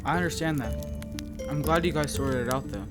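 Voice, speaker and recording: A man's voice: on the high side, a little fast, natural voice. A man voicing Jackson, a curious young teammate who asks many questions. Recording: loud music score behind.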